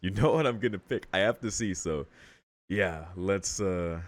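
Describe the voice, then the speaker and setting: A man's voice: low voice, moderate pace, no echo. A man hosting a visual novel playthrough, reading and reacting in slangy, profane casual talk, thinking aloud. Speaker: let's play host.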